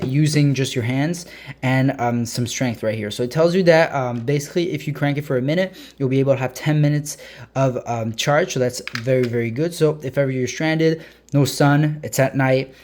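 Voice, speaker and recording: A man giving a casual hands-on product review as a video host, explaining informally with frequent hesitant uh pauses. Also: medium pitch, darkish tone, a little hiss or hum.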